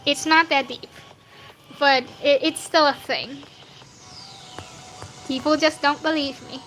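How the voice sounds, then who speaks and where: A woman's voice: high voice, fairly even intonation, slow, fairly bright tone, soft background music, low-quality recording. A nineteen-year-old woman with a youthful voice that sounds younger than her age, chatting casually in a slangy, rambling, self-deprecating way as she streams her drawing. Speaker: streamer drawing fan art live. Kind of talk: casual livestream chat while drawing.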